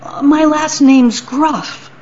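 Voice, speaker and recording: A woman's voice: high voice, slow, darkish tone, slight background noise, pitched above the performer's own voice. A woman doing a timid stammering little goat. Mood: nervous. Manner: stammering, pleading. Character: small timid male billy goat. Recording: dull lo-fi recording.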